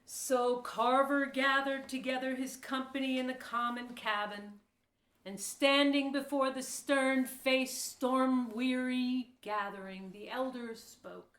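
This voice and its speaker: A woman narrating a historical audio drama in a measured, formal storytelling manner. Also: high-pitched, steady tone, fairly bright tone. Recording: roomy, echoey voice recording.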